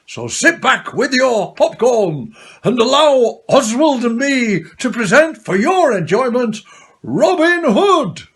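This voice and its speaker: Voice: high voice, husky. A pantomime narrator giving a scripted introduction in an emphatic storytelling way.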